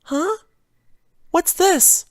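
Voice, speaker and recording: Shy sweet little cartoon pony lady, really high soft voice, gentle and easily flustered. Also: drawn-out words, no echo, clean studio sound, exaggerated voice. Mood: curious, tender. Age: young adult. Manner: sweet, timid.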